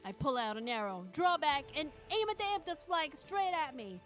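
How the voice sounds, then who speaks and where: Higher-pitched woman streamer narrating theatrically. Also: very animated, normal speed, a bit bright, soft background music. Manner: theatrical, playful, breaks off for asides. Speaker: streamer reading the heroine and narration of a visual novel. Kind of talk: dramatic first-person narration mixed with casual banter.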